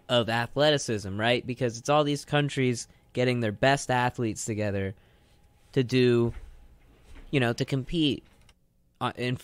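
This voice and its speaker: A man's voice: mid-range voice, dry recording. A man co-hosting a casual radio show, giving opinions in a rambling way and repeating phrases as he makes his point. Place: radio studio.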